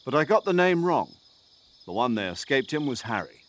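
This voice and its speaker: A chatty military commander. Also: male voice, mid-range voice, big pitch swings, moderate pace, a bit bright. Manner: talkative, earnest, briefing tone. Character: brisk male military commander on radio. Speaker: mission commander on radio. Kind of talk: video game cutscene acting.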